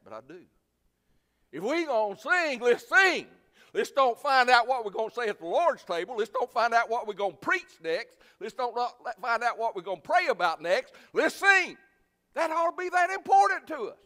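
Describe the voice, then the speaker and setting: A man preaching a sermon in a folksy, plainspoken, emphatic way, with a loud voice. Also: very high voice, very animated, normal speed. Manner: folksy, plainspoken, emphatic, swinging from quiet asides to loud exhortation. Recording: reverberant hall.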